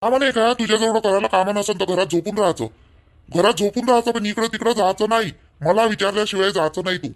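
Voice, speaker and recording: A man's voice: high voice, fast talker. A man playing an angry, controlling young village husband in a family cartoon, scolding and repeating himself in a Vidarbha Marathi accent. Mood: angry, commanding. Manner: scolding, domineering, repetitive. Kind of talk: family drama cartoon dialogue.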